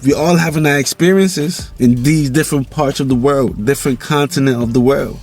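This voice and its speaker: A man's voice: mid-range voice, fairly quick, darkish tone. Jamaican guy rambling to camera, laid back, keeps saying you know, bit of music under it. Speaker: vlog host. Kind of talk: casual monologue vlog.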